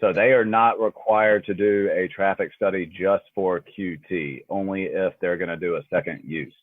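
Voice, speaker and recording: Male board member, very low voice, conversational questioning, narrow dry call audio. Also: fairly even intonation, normal speed, no room sound. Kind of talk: informal questioning at a meeting. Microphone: phone or conference call. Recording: dry, tinny phone-like line.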